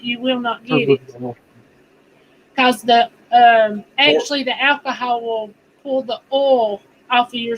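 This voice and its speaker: A woman with a high, bright voice who talks slowly and in a folksy way. She chats easily, with faint noise underneath. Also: rough recording. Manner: folksy, laughs easily, gives home-remedy advice. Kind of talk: casual chat on a livestream.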